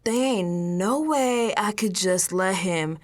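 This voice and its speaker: A woman's voice: mid-range voice, slow pace, close to an everyday voice. A woman playing a streetwise, unsettled young American woman in an audio drama monologue, confiding in colloquial, emphatic speech. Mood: puzzled, insistent. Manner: colloquial, sassy, emphatic, dropping into whispers.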